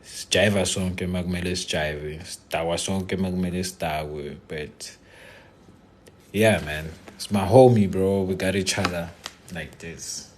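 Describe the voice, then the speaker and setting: Deep-voiced laid-back South African man. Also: measured pace. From Limpopo, South Africa. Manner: laid-back, joking, mixes English with Zulu slang. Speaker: musician on a live stream. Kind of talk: casual live stream chat answering viewers.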